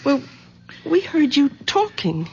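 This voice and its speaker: An actress playing an anxious, protective middle-aged daughter, earnest and pleading, in 1970s radio drama style. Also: female voice, sits high, sing-song, slow pace, darkish tone, slight background noise, exaggerated voice. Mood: probing. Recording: dull vintage radio drama recording.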